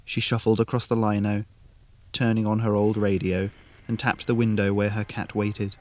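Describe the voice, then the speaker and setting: A man reading an atmospheric short story in a deliberate, measured, literary manner. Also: lowish voice, little pitch movement, darkish tone. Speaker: narrator.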